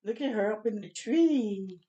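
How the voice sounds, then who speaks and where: A woman reading a children's picture book aloud expressively, pausing to chat and ask young listeners questions. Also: medium pitch, highs cut off. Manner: animated, asks the listeners questions.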